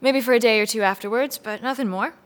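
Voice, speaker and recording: Guarded, defensive mother with a higher voice, actress. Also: fast, a bit bright, natural voice. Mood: guarded, casual. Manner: curt, defensive, questioning back. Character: guarded married mother, increasingly indignant under questioning. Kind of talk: scripted audio drama dialogue.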